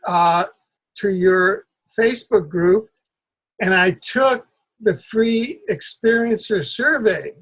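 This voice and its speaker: An older man hosting a conversational interview, speaking in a halting, deliberate way. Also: high voice, very animated, creaky, fairly bright tone, slightly rough. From Cuba. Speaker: interview host.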